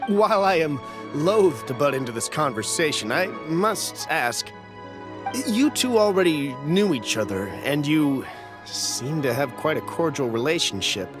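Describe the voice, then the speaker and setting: An actor playing a wary, fawning merchant buyer who speaks hesitantly and nervously, in a video game. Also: male voice, on the high side, big pitch swings, a little slow, music in the background. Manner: hesitant, obsequious and a bit nervous.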